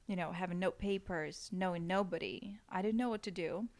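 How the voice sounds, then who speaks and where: A woman's voice: fairly low pitch, fairly even intonation, normal speed. A woman from Ukraine and Russia with a Russian accent, talking casually as a guest in an interview. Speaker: podcast guest, actress.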